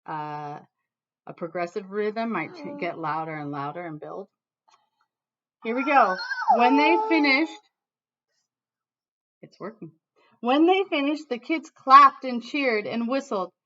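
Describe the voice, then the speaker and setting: An American woman teacher reading a storybook expressively to students, pausing to explain words. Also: on the high side, expressive, pushed and bright, a little hiss or hum. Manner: pauses to explain words to children. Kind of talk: expressive read-aloud with explanatory asides. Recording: dull, lo-fi sound.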